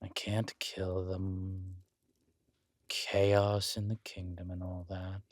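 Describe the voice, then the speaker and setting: A man's voice: sits low, monotone, slow pace, no echo, studio quality. A man playing a scheming, flirtatious villain king in an intimate roleplay audio drama, with a languid, teasing, menacing delivery. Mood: reluctant, brooding.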